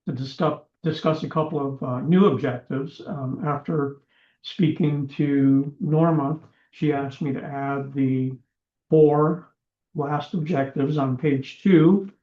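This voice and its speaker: A man chairing a town commission meeting, his voice going hoarse, talking haltingly with many fillers. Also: fairly high pitch. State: losing his voice. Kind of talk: meeting proceedings. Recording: echoey room, dull lo-fi sound.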